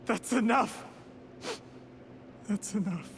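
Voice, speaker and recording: A man's voice: sits high, slow pace, creaky, long reverb tail, noisy background, heavily acted. A man voicing a scheming, ambitious game villain who speaks in a formal, ingratiating and calculating way. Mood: agitated. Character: smooth-talking, scheming male villain, ambitious and polite. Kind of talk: English video game voice acting.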